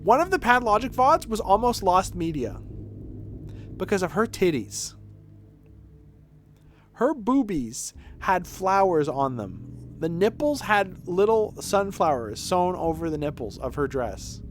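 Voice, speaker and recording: A man doing casual livestream game commentary in an animated, irreverent and crude way. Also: sits high, measured pace, a little hiss or hum. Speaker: game streamer.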